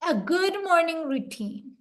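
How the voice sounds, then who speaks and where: A woman co-hosting a podcast, giving calm, encouraging self-care advice. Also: extremely high pitch, a little slow. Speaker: podcast co-host. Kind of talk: casual podcast chat.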